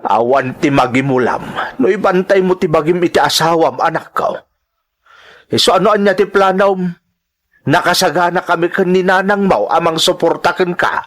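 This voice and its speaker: A man with an Ilocano accent playing a gentle, caring older father in an audio drama, soothing and measured. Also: sits high. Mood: reassuring.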